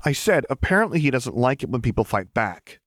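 A man's voice: mid-range voice, big pitch swings, fairly quick, no room sound, studio quality, voice pushed up. A man voicing a good-humored, polite young man with a primly indignant streak in an audiobook. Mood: exasperated. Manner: bright, cheeky, primly emphatic. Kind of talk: audiobook character dialogue.

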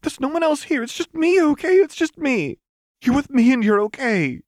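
A man's voice: very high-pitched, big pitch swings, moderate pace, a little mellow, dry recording, voice pushed up. A caring young woman acted by a male narrator, worried and tender. She pleads and reassures with earnest concern, in a close, dry audiobook recording. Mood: alarmed, reassuring.

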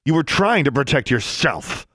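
A man's voice: mid-range voice, big pitch swings, moderate pace, a bit bright, breathy edge, dry recording, clean studio sound, pitched above the performer's own voice. A man voicing a guarded, blunt elder sister in a story, with terse, cutting delivery. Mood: challenging.

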